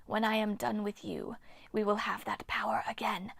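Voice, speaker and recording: A woman voicing a bitter, ancient female water nymph in a slow, hissing whisper. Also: medium pitch, steady tone, creaky, a bit bright, breathy edge, no echo, exaggerated voice. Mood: menacing.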